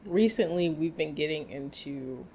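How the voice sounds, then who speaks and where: A woman's voice: low-pitched, a little slow, a little hiss or hum. A woman co-hosting a podcast, chatting casually and working out her thoughts as she talks. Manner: relaxed, talks it through with fillers. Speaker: podcast co-host.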